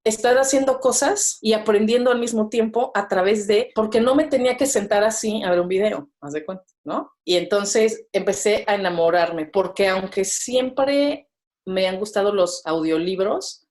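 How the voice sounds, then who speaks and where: A woman's voice: medium pitch, moderate pace, a little mellow. A Mexican woman with a Mexican accent, talking casually as a podcast guest, full of fillers and tag questions. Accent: Mexican Spanish. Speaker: podcast guest, business owner. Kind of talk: casual interview chat.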